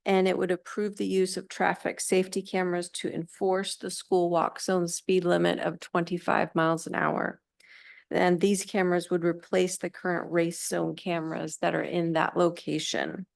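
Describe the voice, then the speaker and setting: A woman's voice: fairly low pitch, flat delivery, normal speed, dry recording. Woman staffer briefing the council, dry sound. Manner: clear, explanatory, polite. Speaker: city staff presenter.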